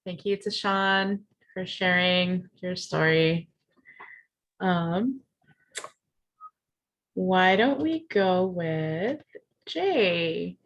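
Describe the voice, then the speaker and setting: Slow-spoken woman moderator, from afar. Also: on the low side, dull audio.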